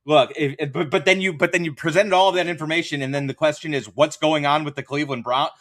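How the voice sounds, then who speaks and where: A man's voice: fairly high pitch, fairly even intonation, fast, a bit bright. Wry, casual male sports radio guest. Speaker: radio play-by-play announcer, interview guest.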